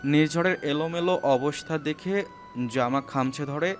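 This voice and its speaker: A man's voice: on the high side, moderate pace. A man narrating a scripted romantic story, with music playing underneath.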